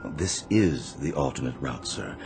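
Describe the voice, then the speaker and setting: An actor playing a courteous, unflappable chauffeur in a radio drama, formal, polite and dryly deferential. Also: male voice, rumbling low, expressive, a little slow, darkish tone, soft background music, exaggerated voice.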